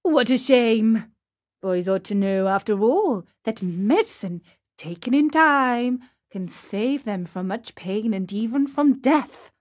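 Woman as a patient fairy, slow and high. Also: expressive, a little mellow. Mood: gentle scolding. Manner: patient, admonishing. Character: patient, motherly female fairy, gently scolding. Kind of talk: storybook character reading.

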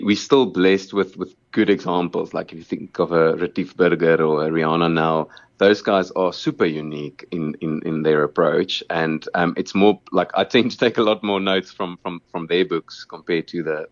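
A man's voice: low-pitched, no room sound, lo-fi sound. A South African man whose first language is Afrikaans, a musician answering interview questions in an earnest way, often repeating words while he thinks.

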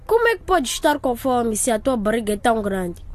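Casting: little boy, very high voice, whining, stubborn, insistent, radio drama. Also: sing-song, brisk. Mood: whiny, stubborn. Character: hungry, whiny, stubborn little brother.

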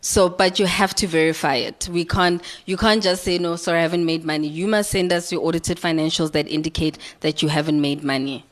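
A woman's voice: low-pitched, fairly even intonation, brisk, few pauses. A woman with a South African accent answering audience questions as a licensing manager, explanatory and emphatic.

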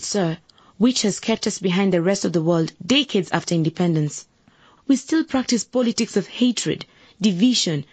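An actress playing a determined, idealistic young political candidate in a radio drama. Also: female voice, medium pitch, breathy edge, no room sound. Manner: earnest, assertive, formally polite. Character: determined, idealistic young woman running for civic office.

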